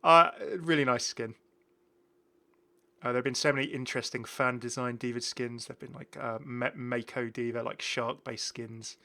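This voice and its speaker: A British man hosts a gaming video in a bright, forward voice at a middling pitch. He talks casually and keenly, rambling through his points and often rewording them midway. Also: fairly even intonation, moderate pace. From United Kingdom. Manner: rambling, self-correcting, enthusiastic. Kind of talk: casual game commentary.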